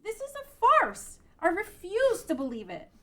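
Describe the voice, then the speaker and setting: Woman as an earnest, very high-voiced ranch girl. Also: wildly swooping pitch, measured pace, exaggerated voice. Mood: distressed, defiant. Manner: emotive, earnest. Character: earnest, tender-hearted young ranch girl. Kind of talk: amateur table read of a game-based drama.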